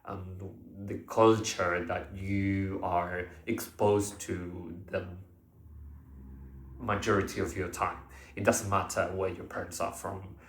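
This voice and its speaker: Slow, hesitant man in an echoey room. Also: low voice. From Argentina. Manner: hesitant, many fillers and restarts, unscripted. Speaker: vlogger. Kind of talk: casual monologue to camera.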